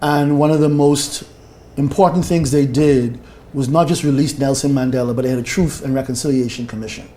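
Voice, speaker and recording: A man's voice: medium pitch, steady tone, a little fast, mellow, a little hiss or hum. A man, speaking as a panel guest in a reflective way and repeating key phrases. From Bahamas. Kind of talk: discussion panel.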